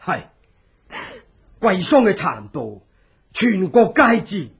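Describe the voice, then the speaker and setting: An actor playing an earnest, secretive revolutionary, speaking with urgent, theatrical persuasion in a radio drama. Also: male voice, sits high, big pitch swings, slow, vocal fry, fairly bright tone, slightly rough, exaggerated voice. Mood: indignant. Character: earnest, secretive revolutionary, escaped political prisoner. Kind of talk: Cantonese radio drama acting. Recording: dull, lo-fi old radio drama.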